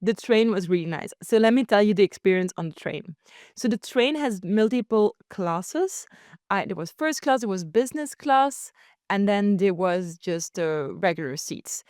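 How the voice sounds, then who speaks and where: Young woman, from Belgium, podcast host, casual storytelling, chatty, laughs a lot, crisp voice, dry close sound. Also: mid-range voice, moderate pace, no room sound. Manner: chatty and animated, laughs often, slips into quoted voices.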